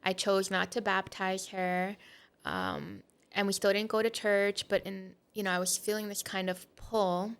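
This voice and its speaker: A woman's voice: mid-range voice, expressive, unhurried, clean tone. Woman in her 30s from portugal, podcast guest, talks faith openly, lots of um and like. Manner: candid and reflective, with frequent "um" and "like". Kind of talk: personal testimony in conversation.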